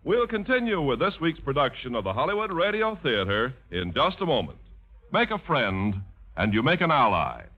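Animated male radio announcer, muffled vintage broadcast. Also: medium pitch, normal speed, breathy edge. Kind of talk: scripted 1950s radio narration.

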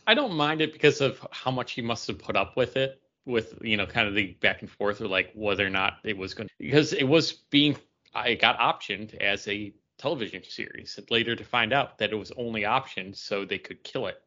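A man's voice: fairly low pitch, brisk, pushed and bright. A man chatting casually as a podcast co-host, with a wry, joking manner. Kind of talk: casual chat. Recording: dull lo-fi audio.

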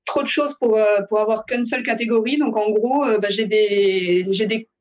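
A woman's voice: medium pitch, moderate pace, darkish tone, lo-fi sound. A woman from France, a podcast guest, talking casually with many hesitations. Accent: Parisian French. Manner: hesitant, many fillers, laughs easily. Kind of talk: casual conversation.

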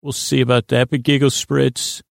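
A man hosting a bedtime podcast in a creaky, soothing voice, meandering and halting as he lulls listeners to sleep with whimsical tangents. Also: mid-range voice, level tone, dry recording, studio quality. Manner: meandering, halting, often trails off and repeats himself. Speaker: podcast host. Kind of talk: rambling bedtime monologue to lull listeners to sleep.

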